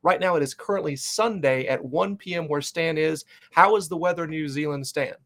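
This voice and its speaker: A man's voice: fairly high pitch, fairly quick, fairly bright tone. A man hosting a sports fan podcast, chatting in an upbeat, loose way with plenty of fillers. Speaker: podcast host. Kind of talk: casual interview chat.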